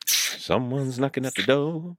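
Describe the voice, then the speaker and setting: A man's voice: mid-range voice, expressive, unhurried, fairly bright tone, no room sound. A man co-hosting a football podcast, laughing a lot in casual, playful banter. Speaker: podcast co-host.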